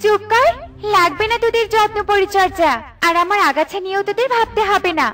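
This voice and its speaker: A woman's voice: extremely high pitch, a little fast, fairly bright tone, faint music behind. A woman voicing an arrogant, boastful talking banana tree in a cartoon fairy tale, with a haughty manner. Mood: scornful, angry. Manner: haughty and dismissive.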